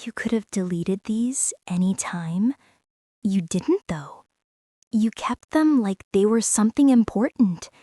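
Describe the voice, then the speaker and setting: A woman playing a young former bully in an intimate ASMR roleplay, speaking in a hushed, hesitant way that often slips toward a whisper. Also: medium pitch, animated, a little slow, dry recording, clean studio sound. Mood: disbelieving, softening. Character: young female former bully, stunned, teasing, softening into tenderness.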